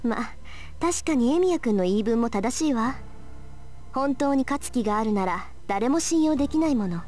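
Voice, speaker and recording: An actress playing a sharp, proud teenage girl mage, brisk and assertive, in a Japanese visual novel. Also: a little high, lively intonation, lightly acted. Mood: cool, businesslike.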